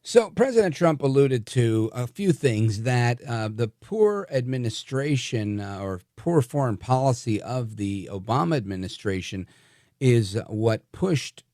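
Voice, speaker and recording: Man, radio host, gravelly fry, conversational, self-deprecating, dry sound, light music bed. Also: medium pitch, mellow, dry recording. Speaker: radio talk show host. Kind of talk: live talk radio interview.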